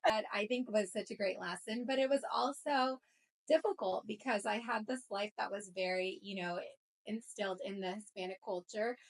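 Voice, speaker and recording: A woman's voice: mid-range voice, normal speed, poor audio. A woman from Colombia co-hosting a recruiting show, telling personal stories in a casual chat with plenty of fillers. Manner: chatty, storytelling, frequent fillers.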